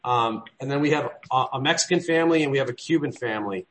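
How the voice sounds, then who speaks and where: A man chairs a work meeting, his talk looping through restarts and side stories at an ordinary pitch. His delivery is loose and conversational, drifting off before picking up again. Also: fast talker, a bit bright, a little hiss or hum, low-quality recording. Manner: rambling, frequent restarts and trailing asides. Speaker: meeting leader. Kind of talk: business meeting.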